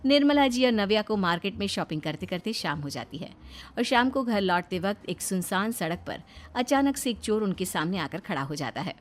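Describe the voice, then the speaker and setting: A woman's voice: mid-range voice, animated, a little fast, slight background noise. A woman storyteller reading scripted narration for a moral story.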